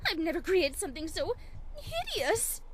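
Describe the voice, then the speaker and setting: A woman's voice: very high-pitched, very animated, normal speed. A woman voicing a frightened, defiant female pony in a dramatic, distressed horror story reading.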